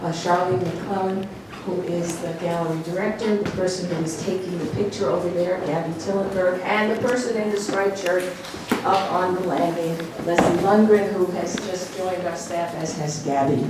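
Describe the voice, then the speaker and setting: A woman's voice: on the low side, steady tone. Woman curator, hesitant opener full of fillers, heard from a distance in an echoing room with noise. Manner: halting, many ums and uhs. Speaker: gallery curator. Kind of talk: opening remarks at an exhibition. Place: gallery room with an audience. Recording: echoey room from far off, noisy.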